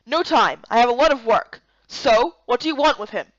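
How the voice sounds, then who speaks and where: Woman acting out a gruff, sarcastic, irritable man. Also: a little high, animated, normal speed, no room sound, close to an everyday voice. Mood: brusque. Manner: brusque, sarcastic. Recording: dull lo-fi close recording.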